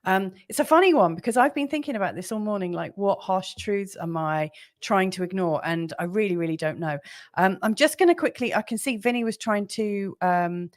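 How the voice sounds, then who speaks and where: A woman hosting a casual live stream from home, with a slightly croaky voice. She talks in a chatty, rambling way with plenty of fillers, at an average pitch. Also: expressive, brisk. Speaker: livestream host.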